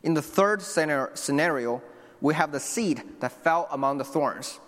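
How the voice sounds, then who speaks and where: A man's voice: fairly high pitch, moderate pace, a bit bright, long reverb tail. A young man from China, preaching a sermon earnestly and speaking directly to his congregation. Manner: earnest, with restarts and asides to the congregation.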